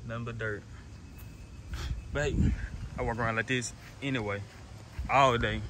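A nineteen-year-old man rambles to his phone camera while walking outside, circling back to the same points and restarting his sentences. His voice sits in an ordinary middle pitch. Outdoor noise runs clearly under his talk. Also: fairly even intonation, moderate pace. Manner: rambling, repeats himself, lots of restarts. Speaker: car vlogger. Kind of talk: casual vlog to camera. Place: outdoors in a driveway beside a car. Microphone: phone held at arm's length.

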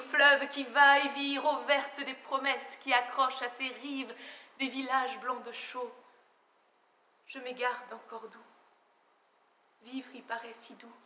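A woman's voice: high voice, pushed and bright. A French woman in her thirties performing dramatic spoken-word poetry, her delivery swelling and sinking, in an empty opera house auditorium. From France. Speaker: slam poet. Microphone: far camera. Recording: roomy hall echo.

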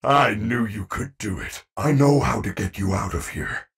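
An actor voicing a kind, protective animatronic bear entertainer in a video game, speaking in an earnest, formal, precise way. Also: male voice, on the low side, moderate pace, creaky, husky, far from the mic, heavily acted. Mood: encouraging. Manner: earnest, formal, precise speech without contractions.

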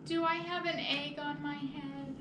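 A woman voicing an anxious, exasperated elephant in a children's picture book, with drawn-out, incredulous exclamations. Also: high voice, steady tone. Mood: exasperated disbelief. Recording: echoey, noisy room, far from mic.

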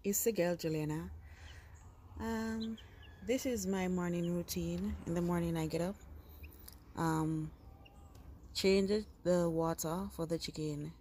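A woman narrates a casual vlog in an informal, unhurried way over chirping chicks. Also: on the low side, steady tone, mellow. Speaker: vlogger. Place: chicken coop at home. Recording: noisy handheld phone audio.

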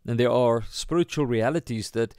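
A South African man teaches in the manner of an informal sermon. His voice is medium in pitch with a gravelly creak, recorded dry without room echo. He often gropes for the right word and corrects himself. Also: darkish tone. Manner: halting, searching for words, self-correcting. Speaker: pastor.

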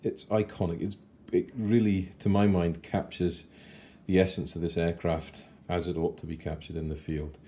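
Deep mellow guy in his 40s rambling through a model kit unboxing at home, bit of hiss. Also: level tone. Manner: chatty and unhurried, with fillers and self-corrections. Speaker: scale model kit reviewer. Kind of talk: casual unboxing review. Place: home kitchen table.

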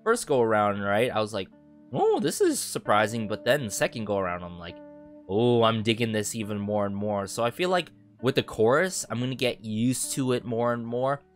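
A young man with a fairly low voice reviews a track in loose, casual chat. He is animated and fills his talk with little asides. He is close to a boom mic in a small bedroom, with faint music playing under his voice. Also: moderate pace. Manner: animated, thinks aloud with many fillers like "you know" and "right". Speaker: music reaction channel host. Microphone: boom mic close to the face, headphones on.

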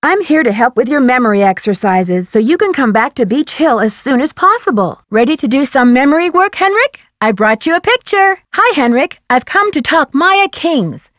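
A woman playing a friendly, earnest, inquisitive young female detective in an adventure video game, coaxing and questioning with clear diction. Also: high-pitched, very animated, moderate pace, hardly any pauses, no room sound, clean studio sound. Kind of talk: scripted adventure game dialogue.

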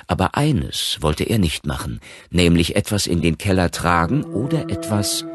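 A man narrating a scripted horror audiobook in a measured, articulate storytelling manner. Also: sits low, big pitch swings. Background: background music.

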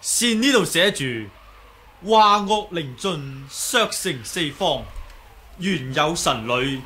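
An actor with a Hong Kong Cantonese accent playing a righteous, composed young martial-arts hero in a 1980s radio drama, speaking deliberately and accusingly. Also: male voice, a little high, animated, pushed and bright, exaggerated voice. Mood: measured, exposing. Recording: faint hiss on old radio recording.

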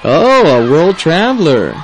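A man playing a chatty, forgetful schoolteacher in a scripted English lesson dialogue, animated and slightly hesitant. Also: on the high side, takes it slowly, a faint crowd, a little hiss or hum, poor audio. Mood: cheerful, curious.

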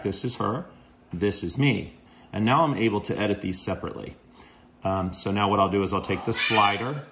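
A man in his forties, a podcast host, walking through a casual how-to at home with a low voice and a relaxed, rambling manner full of fillers. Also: a little hiss or hum. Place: home kitchen, indoors.

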